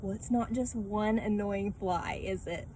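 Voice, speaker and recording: A woman speaks to children in a casual, conversational teaching style. Her voice sits at a middle pitch, and she often ends thoughts with short questions. Faint ambient noise runs behind her. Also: unhurried, warm tone. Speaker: children's Bible class teacher. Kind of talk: casual read-aloud and lesson talk for children.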